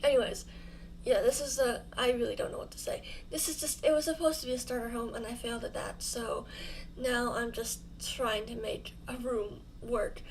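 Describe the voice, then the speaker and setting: A woman's voice: fairly high pitch, steady tone, moderate pace, slight background noise, rough recording. A young woman hosting her channel, talking casually over a home build in a rambling, self-deprecating, giggly way, her mouth sore from fresh dental fillings. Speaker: YouTuber host.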